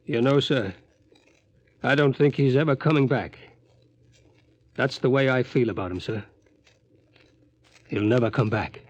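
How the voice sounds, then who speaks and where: A man's voice: mid-range voice, a little slow, pauses often, lo-fi sound, natural voice. Guy playing worried crewman reporting to his officer, mid voice, clipped, old radio w faint noise. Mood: uneasy. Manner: deferential, clipped. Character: uneasy subordinate crewman, dutiful but worried. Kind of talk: 1950s radio drama acting.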